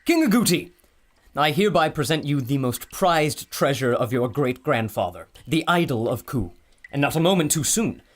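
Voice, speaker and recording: Casting: male actor as bookish, cautious archaeologist, slightly high voice, polite and excitable, for adventure radio play. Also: normal speed. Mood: formal, pleased. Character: earnest, scholarly, cautious archaeologist. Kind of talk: pulp adventure audio drama acting.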